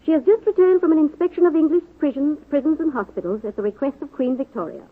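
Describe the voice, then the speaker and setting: A woman's voice: high voice, fast talker. A woman narrating a 1940s radio drama in a dramatic scripted style. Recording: muffled old radio recording, phone-like band.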